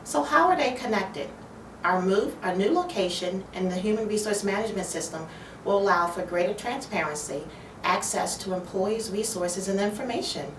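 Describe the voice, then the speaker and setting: A woman from human resources giving a clear, orderly, businesslike statement in an interview. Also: mid-range voice, expressive, moderate pace, distant mic. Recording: roomy, off-mic, noisy background.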